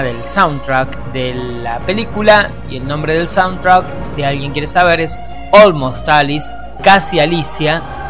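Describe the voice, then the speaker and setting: An Argentine man presenting on radio with a bright, forward voice, pitched a little high. He explains as he goes, pausing to search for words, with light music underneath. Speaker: radio host. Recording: faint music behind the voice.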